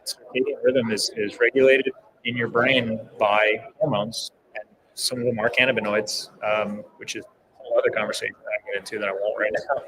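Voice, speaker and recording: A man co-hosting a casual podcast, enthusiastically explaining sleep habits with many fillers. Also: mid-range voice. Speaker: podcast co-host. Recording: noisy live event floor.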